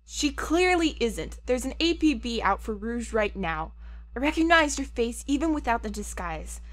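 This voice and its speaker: Woman acting a skeptical young sidekick, curt, sarcastic, slightly high, dry sound, audio drama. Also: sing-song, normal speed, no echo. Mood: matter-of-fact. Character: exasperated, skeptical young friend of the hero.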